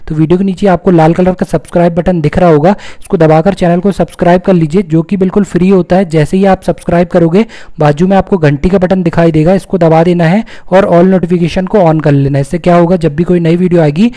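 A man's voice: high-pitched, mellow. A man with an Indian accent narrating a step-by-step phone tutorial, explaining things simply and repeating his points. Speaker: tutorial host.

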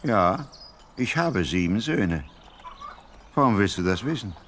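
An actor playing a humble, middle-aged farmer and worried father in an earnest, expressive Bible audio drama. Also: on the low side, measured pace, slight background noise. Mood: wary.

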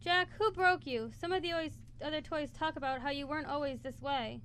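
Plucky, kind toy girl, played by a woman, very high bright voice, coaxing and insistent, radio drama. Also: a little fast. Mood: apologetic. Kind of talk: student radio play acting. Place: college radio studio, live broadcast. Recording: clear background noise in radio studio.

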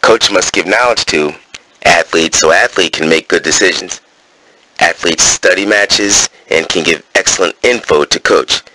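A man's voice: low voice, unhurried, sharp tone, rough recording. A man reading coaching points aloud in a wrestling gym, breaking off into casual summaries with fillers. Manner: alternates terse read-out points with casual asides full of fillers.

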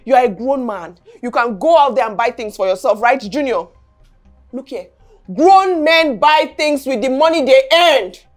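A woman's voice: on the high side, moderate pace, faint music behind, lightly acted. A woman acting a distraught, angry mother with a Nigerian accent in a film drama, scolding and pleading. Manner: scolding, pleading, repeats questions.

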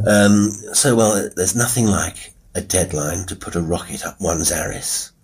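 A British man hosting a solo podcast, talking informally in a rambling, wry, self-deprecating way with a husky voice. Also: low voice, a little slow, slight background noise. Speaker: horror podcast host and writer. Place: quiet room with a piano at work. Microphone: wireless Bluetooth mic into a phone.

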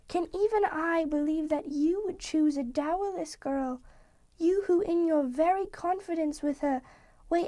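A man voicing a soft, high-pitched sorrowful young woman. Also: no echo. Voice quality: soft, gentle. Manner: quiet, measured, resigned pleading. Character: gentle, sorrowful young woman releasing her betrothed. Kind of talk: audiobook reading.

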